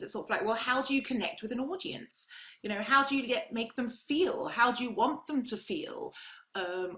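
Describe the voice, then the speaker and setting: Expressive woman in a roomy echo. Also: fairly high pitch. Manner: encouraging, expressive, emphatic. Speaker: vocal coach guest. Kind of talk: casual conversation.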